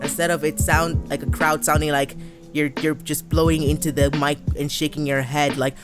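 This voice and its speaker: A man's voice: on the high side, flat delivery, moderate pace. Casual male podcast host over music.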